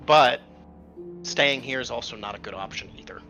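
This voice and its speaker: A man's voice: mid-range voice, moderate pace, pushed and bright, lo-fi sound, faint music behind. A man talking at length as a tabletop game player, casually arguing out strategy with some mild swearing. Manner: talkative, argues points at length, mild swearing.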